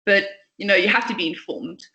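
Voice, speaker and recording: A woman's voice: medium pitch, brisk, sharp tone, muffled recording. A young woman, a company founder, talking passionately and at length as a podcast guest. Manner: passionate, chatty, outspoken. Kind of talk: podcast interview. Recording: echoey room.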